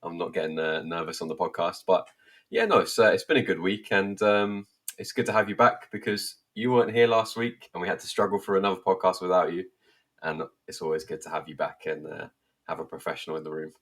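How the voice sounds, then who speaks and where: Deep-voiced man with a cold. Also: fairly even intonation, fairly quick, a bit bright. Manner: easygoing, rambling. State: has a cold. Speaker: podcast co-host.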